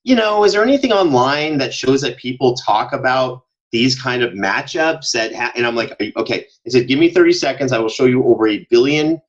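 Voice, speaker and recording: Expressive man on dull lo-fi audio. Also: mid-range voice, brisk, few pauses. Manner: emphatic, expressive, enthusiastic. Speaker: podcast guest, show producer. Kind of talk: animated casual interview talk.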